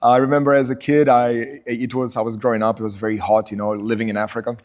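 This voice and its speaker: A man in his forties from Portugal, a podcast guest telling his story in a relaxed, chatty way with frequent laughs. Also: mid-range voice, quick pace. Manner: laid-back, laughs often, says "you know" a lot. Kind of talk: casual chat.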